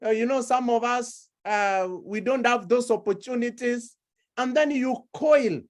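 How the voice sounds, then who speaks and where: A woman delivers a sermon at a slow, measured pace. Her voice sits a little high, and she stacks repeated phrases to build each point. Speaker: preacher.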